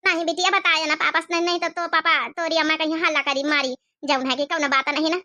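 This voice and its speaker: A woman's voice: sits high, little pitch movement, fast, bright, forceful tone. A woman voicing a meek, placating old grandmother with an Awadhi accent in a cartoon comedy, plaintive and hesitant. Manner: plaintive, hesitant with filler phrases.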